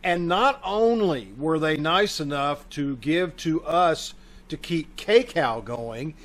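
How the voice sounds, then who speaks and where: Male community show host, voice on the higher side, informal announcements on a video call. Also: lively intonation, slow, a bit bright. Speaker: host of a community radio theater troupe's show. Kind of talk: casual spoken introduction and announcements.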